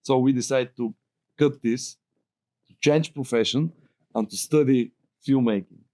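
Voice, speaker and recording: Animated Bulgarian man telling stories. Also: medium pitch, unhurried. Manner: animated, rambling storyteller who laughs often. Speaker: guest filmmaker. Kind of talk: casual roundtable chat.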